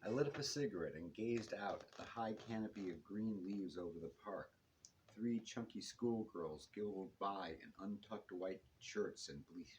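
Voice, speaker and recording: A man with a fairly low voice reads first-person detective fiction aloud. He moves through it in measured, short phrases that drop at the ends. It is a live bookshop recording with some noise behind him. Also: darkish tone, poor audio. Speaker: author reading own novel.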